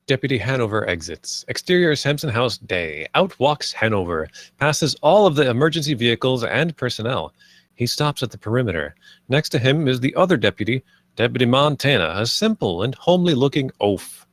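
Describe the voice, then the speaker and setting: A man's voice: medium pitch, very animated, fairly quick, no room sound. A man reading stage directions as the narrator of a screenplay table read.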